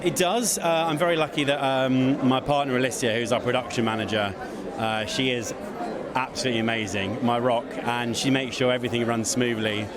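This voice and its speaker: A man's voice: mid-range voice, fairly even intonation, brisk. A man being interviewed as an event producer, effusive and a bit hesitant, in casual chat at a busy venue. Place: theatre foyer before an event. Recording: crowd noise at busy venue. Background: crowd chatter.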